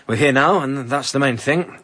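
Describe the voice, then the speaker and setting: A man's voice: medium pitch, moderate pace, a bit bright, no echo, close to an everyday voice. An actor playing a brash, flippant man in a radio drama. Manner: cheeky, offhand.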